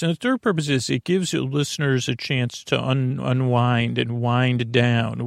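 A man hosting a bedtime podcast in a meandering, halting monologue that drifts off on tangents. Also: mid-range voice, no room sound, clean studio sound. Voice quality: creaky, gravelly. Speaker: podcast host.

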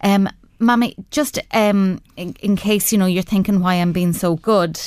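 A woman's voice: mid-range voice, fairly even intonation, moderate pace, darkish tone, clear, resonant tone, dry recording. Irish female co-host, easy anecdotal chat, dry close studio sound. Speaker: radio co-presenter. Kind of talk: casual radio chat. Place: radio studio.

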